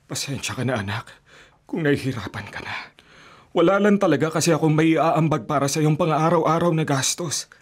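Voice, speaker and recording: An actor playing a poor, kindly, middle-aged father who collects scrap, in an excitable Filipino radio drama style. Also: a little high, brisk, natural voice. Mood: tender, apologetic. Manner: apologetic with his child, wide-eyed and incredulous at wonders.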